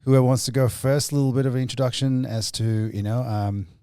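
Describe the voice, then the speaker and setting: Male interview host, soft dark tone, casual and halting delivery, close dry recording. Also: mid-range voice, steady tone, smooth, dry recording. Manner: halting, informal, circling questions. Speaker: podcast host.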